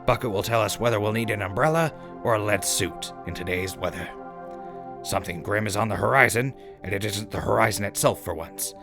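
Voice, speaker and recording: A man's voice: fairly low pitch, a little fast, music in the background, natural voice. A man playing a wry, cheeky wasteland radio host with folksy, mock-gracious announcer patter. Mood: upbeat. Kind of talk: scripted in-character radio broadcast.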